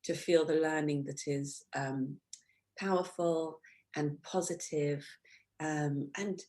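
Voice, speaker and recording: A woman's voice: low voice, steady tone, slow, a little mellow. A woman hosting an education interview, enthusiastic and fond of tag questions. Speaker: podcast host. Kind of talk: conversational interview.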